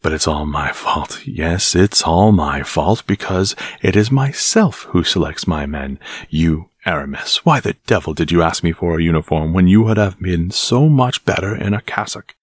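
A deep-voiced man doing a furious old musketeer captain. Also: expressive, normal speed, few pauses, slightly rough, dry recording, clean studio sound. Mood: scolding. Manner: scolding, shouting. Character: angry middle-aged male captain of musketeers.

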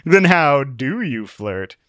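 A man voicing a smooth, charming male suitor in an audiobook, suave and unhurried. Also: mid-range voice, sing-song, fairly bright tone, dry recording, studio quality. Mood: flirtatious. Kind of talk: audiobook character voice.

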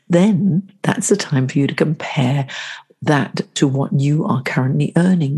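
A woman's voice: low voice, dark tone, slightly rough. A middle-aged woman hosting a solo advice podcast, sounding congested and throaty from the flu, talking in a chatty, coaching way that asks the listener questions. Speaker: podcast host.

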